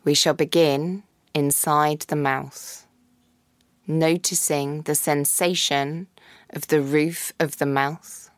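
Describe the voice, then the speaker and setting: A woman guiding a yoga nidra practice in a slow, soft, calm voice with long pauses. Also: low voice, dry recording. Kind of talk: guided meditation.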